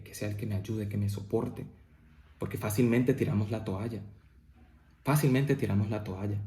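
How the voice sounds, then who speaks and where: A man's voice: mid-range voice, darkish tone, echoey room. A man preaching a family devotional sermon, often checking in with "¿cierto?" and rising to emphatic peaks. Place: church.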